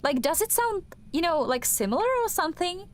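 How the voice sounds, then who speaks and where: A woman's voice: very high voice, sing-song, fairly quick. A young woman with a Czech accent chatting casually while streaming a game, hesitant and full of fillers and restarts. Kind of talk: casual chat while gaming.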